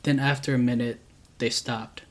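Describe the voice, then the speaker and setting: A man calmly reading true scary stories aloud as a channel host, with a steady, unhurried delivery. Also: medium pitch.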